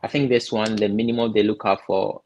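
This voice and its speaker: A man from Ghana giving casual step-by-step advice, often pausing and repeating words as he thinks. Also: on the low side, level tone, vocal fry, a little mellow, slight background noise, low-quality recording. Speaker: guest explaining visa steps.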